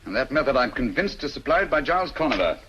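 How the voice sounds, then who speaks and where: An actor playing a brilliant, commanding British detective with a crisp, emphatic, dryly witty delivery in an old-fashioned drama style. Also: male voice, mid-range voice, very animated, fast, pushed and bright, dull audio, a little hiss or hum. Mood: assured, reasoning. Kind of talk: old radio and film drama acting.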